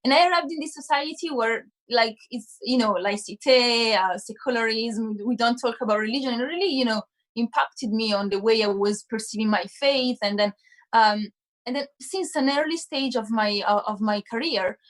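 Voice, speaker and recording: A woman's voice: fairly high pitch, moderate pace. A young woman activist, originally from Italy, speaking candidly in a panel discussion with many fillers. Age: young adult. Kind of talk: panel discussion on a live stream.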